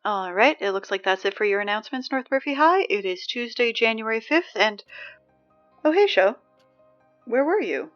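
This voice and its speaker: A woman's voice: fairly high pitch, animated, moderate pace, fairly bright tone, highs cut off, faint music behind. A woman playing a sarcastic, exasperated teenage girl who reads school announcements in a casual, conversational audio drama style. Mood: weary, wry. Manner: snarky, conversational, dry.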